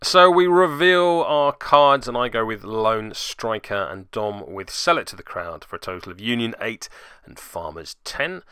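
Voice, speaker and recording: A man's voice: medium pitch, expressive, a little slow, pushed and bright, clear, resonant tone, no room sound, studio quality. A man giving wry voice-over play-by-play commentary on a tabletop miniatures game, with self-deprecating asides.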